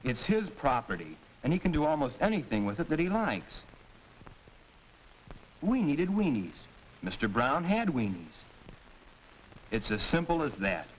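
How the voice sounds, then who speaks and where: A young man playing an earnest high-school boy in a 1940s educational film, explaining his point emphatically. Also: big pitch swings, unhurried, long pauses. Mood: earnest, explanatory. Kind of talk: 1940s educational film acting, scripted discussion. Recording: muffled, noisy 1940s film soundtrack.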